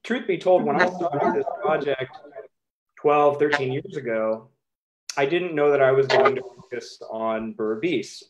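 A man in his thirties with an American accent, giving a measured, emphatic academic talk over a video call, with occasional ums. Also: medium pitch, dull audio, very noisy. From United States. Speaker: historian presenting his book.

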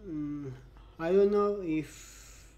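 A young man with a stutter talks straight to his webcam in a bright room, his words coming very slowly with long halting pauses. His voice sits a little high for a man but has a mellow, dark tone. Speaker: vlogger. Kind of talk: personal vlog to camera. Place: bright room indoors by a window.

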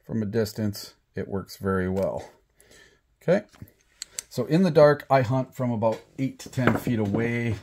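A man's voice: mid-range voice, a little mellow. A man with an American accent giving a casual, plain-spoken product demonstration, instructing step by step. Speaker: product demonstrator and rock hunter. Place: small indoor room at a table. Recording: clearly audible background noise.

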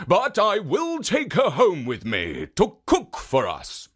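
Storyteller doing a gruff, loud storybook ogre, slow, wide swings. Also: medium pitch, wildly swooping pitch, pitched above the performer's own voice, exaggerated voice. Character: hungry male ogre, gruff and sniffing.

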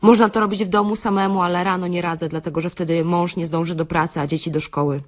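A woman playing a frank, bossy beautician in an audio play monologue, confiding and wry, with theatrical pauses and an earthy, tender streak. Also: on the low side, steady tone, lightly acted. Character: frank, bossy female beautician parodying a self-help workshop leader, tender and earthy.

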